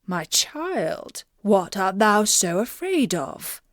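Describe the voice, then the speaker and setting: A stern, fatherly king from a storybook, as a woman narrator puts him on. He speaks in formal, measured phrases with calm command. The sound is close and dry. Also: mid-range voice, dry recording. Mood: concerned questioning. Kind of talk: children's storybook character voice.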